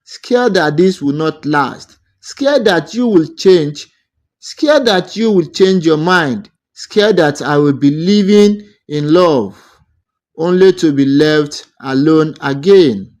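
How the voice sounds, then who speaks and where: A man's voice: fairly high pitch, expressive, takes it slowly, a little mellow. A man voicing a lonely, fearful young village woman in a folktale, hesitant and anxious about love. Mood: scared.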